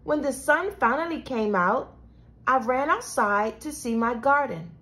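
A woman reading a children's storybook aloud with expressive, deliberate delivery. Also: sits high. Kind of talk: scripted children's storybook narration.

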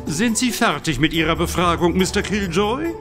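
An actor playing a brisk, formal male butler in a radio drama. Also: high-pitched, animated, music in the background. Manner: formal, impatient.

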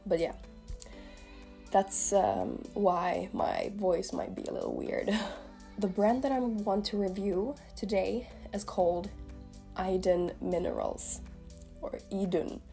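A woman's voice: mid-range voice, big pitch swings, slow pace, gravelly, warm tone, faint music behind. A young woman in her twenties, a beauty vlogger chatting casually to the camera while a little sick with a cold, rambling with lots of fillers. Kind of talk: casual makeup review to camera. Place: small room indoors.

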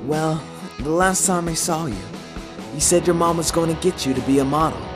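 A woman's voice: low-pitched, moderate pace, music in the background, natural voice. A woman playing a teasing, possessive teenage boy singer in an amateur online radio drama. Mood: soft, sincere.

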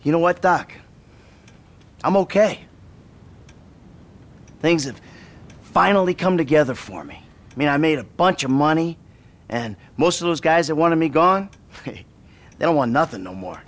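An actor playing a bitter, sarcastic middle-aged American ex-bank robber in a video game cutscene, profane and exasperated. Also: male voice, fairly high pitch, lively intonation, measured pace, pauses often. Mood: subdued, reflective. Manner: sardonic, profane, exasperated delivery.

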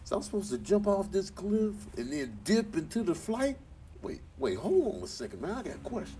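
A man's voice: sits high, big pitch swings, a little fast, dark tone, far from the mic, a little hiss or hum, pitched above the performer's own voice. A man voicing a hesitant bird in a comedy voiceover, rambling and stalling as he interrupts himself. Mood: excited, then hesitant. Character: hesitant male bird stalling before first flight.

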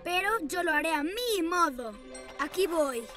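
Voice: extremely high pitch, expressive, a little slow. An actor voicing an earnest, determined little boy hero in a Latin American Spanish cartoon dub. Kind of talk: animated cartoon dub acting. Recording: loud music under the voice.

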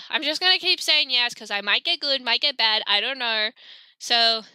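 A woman hosts a casual let's play with a high, very bright voice. She chats and grumbles in an exasperated way, slightly hoarse. The recording is close and dry. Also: normal speed, no echo. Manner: exasperated, chatty, reads game text aloud. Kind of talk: casual gameplay commentary.

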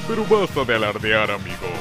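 Man as a cocky, defiant skeleton hero. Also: fairly low pitch. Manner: taunting, casual swagger. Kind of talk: dramatic fan-dub comic acting in Spanish.

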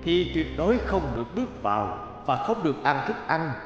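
Casting: old bearded mountain deity, stern, benevolent, grave warnings, children's animation, music behind. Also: male voice, on the high side, sing-song, normal speed, breathy edge, lo-fi sound. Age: older. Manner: solemn, admonishing. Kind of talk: children's fairy-tale cartoon dubbing.

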